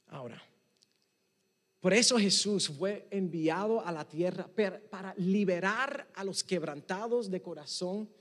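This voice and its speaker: A man with a Puerto Rican accent preaching a sermon, mixing Spanish with English and swinging from emphatic to hushed. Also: high voice. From Puerto Rico. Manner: emphatic, rising and dropping to near hush. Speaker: pastor. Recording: long reverb of a hall.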